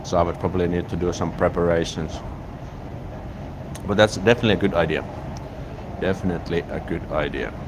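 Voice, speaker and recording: Finnish-accented man, deep voice, laid-back stream host, clear street noise, phone on a gimbal. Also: fairly quick, long pauses. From Finland. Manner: relaxed, rambling, frequent restarts. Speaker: YouTube live streamer. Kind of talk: casual live-stream chat, reading viewer comments. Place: outdoors in a city, near a train station. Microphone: smartphone on a gimbal. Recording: outdoor street noise behind.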